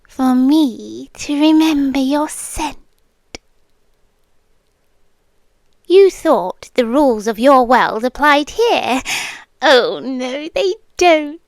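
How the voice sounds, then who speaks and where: An ancient, possessive supernatural girlfriend acted by a woman speaks in a high British voice. She draws her words out very slowly, teasing and taunting in an intimate ASMR style that often slips toward whispering. The sound is close and dry, with a smug menace underneath. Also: no room sound, full character voice. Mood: soft, ominous. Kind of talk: ASMR roleplay.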